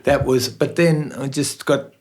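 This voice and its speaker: A man in his seventies with an Australian accent, a guest telling stories in a relaxed interview, restarting sentences and laughing along the way. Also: medium pitch, unhurried. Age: 70s. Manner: chatty storyteller, laughs often, starts over mid-sentence. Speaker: guest musician. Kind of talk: casual interview chat.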